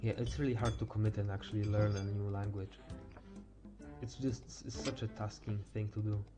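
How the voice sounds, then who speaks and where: A teenage male game streamer thinking aloud in casual live chat, halting and stumbling over words as he goes. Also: fairly low pitch, little pitch movement, over music. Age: teens.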